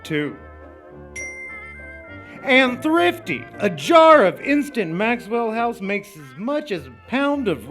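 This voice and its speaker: A man acting in punchy 1940s radio style, as a blustering cop or a pitchman. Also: a bit bright, full character voice.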